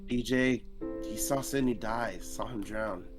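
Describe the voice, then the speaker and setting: A man voicing an earnest, guilt-ridden young male animal character in a visual novel, in a halting, dramatic delivery. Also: mid-range voice, natural voice. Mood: pained, guilty. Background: guitar game music.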